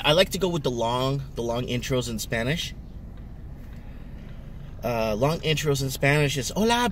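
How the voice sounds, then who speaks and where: A middle-aged man, a native Portuguese speaker, talks to a dashboard camera in his car, his voice sitting a little high for a man. He rambles, restarts sentences and piles on fillers as he chats. Car and street noise run clearly behind him. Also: a bit bright, recorded from a distance. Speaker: vlogger. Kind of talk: casual chat while driving. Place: parked and driving car.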